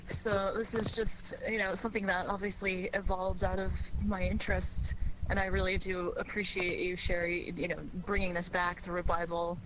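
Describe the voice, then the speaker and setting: A woman's voice: fairly low pitch, normal speed, low-quality recording. A woman talking casually as an actress on a panel, earnest and appreciative in a conversational way. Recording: clear steady background noise.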